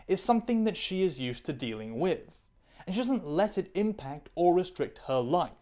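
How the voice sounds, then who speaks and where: A woman's voice: sits low, warm tone. Candid woman giving scripted commentary. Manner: candid, opinionated, analytical. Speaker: video essayist.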